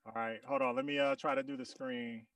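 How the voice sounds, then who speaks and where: A man's voice: mid-range voice, flat delivery, fairly quick, a bit bright, no room sound. A man hosting a group video call, chatting casually and rapping. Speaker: host and rapper. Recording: distant video-call sound over music.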